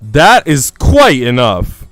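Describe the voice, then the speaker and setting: A man's voice: medium pitch, huge pitch swings, slow pace, a bit bright, dry recording, soft background music, heavily acted. A man voicing a stern governess in her thirties from a visual novel, prim, severe and reproving. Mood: angry. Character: stern thirty-three-year-old governess, female, strict and reproving.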